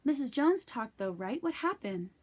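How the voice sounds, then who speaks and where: Woman putting on an eager, nosy schoolgirl, higher-pitched. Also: big pitch swings, moderate pace, darkish tone. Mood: curious. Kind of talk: children's book read-aloud.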